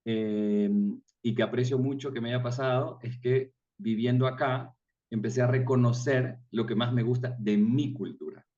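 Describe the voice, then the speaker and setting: A man's voice: mid-range voice, fairly even intonation, normal speed, mellow. A middle-aged man from Ecuador with an Ecuadorian accent, explaining things through examples in a casual interview as the guest. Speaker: interview guest, tour guide. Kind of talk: casual interview, personal anecdotes. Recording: distant mic, slightly muffled sound.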